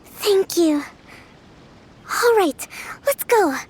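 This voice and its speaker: Very high-voiced, gentle young priestess. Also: female voice, expressive, a little hiss or hum, exaggerated voice. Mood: reassured. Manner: gentle, composed, measured, formal. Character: Kokomi, gentle thoughtful young priestess strategist. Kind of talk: video game voice acting. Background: music.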